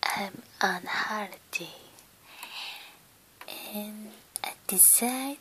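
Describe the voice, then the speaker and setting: A woman whose first languages are Cantonese and Mandarin, hosting a soft-spoken ASMR chat from home in a breathy whisper, hesitant and pausing often. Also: medium pitch, a little hiss or hum, poor audio. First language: Cantonese and Mandarin Chinese. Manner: hesitant, simple phrasing with many pauses. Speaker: ASMR channel host. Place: at home at her computer. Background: faint keyboard typing clicks.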